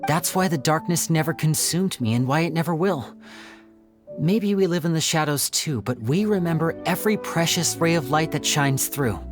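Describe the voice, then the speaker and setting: A man's voice: a little high, moderate pace, close to an everyday voice. A man voicing a playful, courteous young stage magician in a video game. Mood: earnest. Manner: theatrical, teasing and courteous. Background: game music.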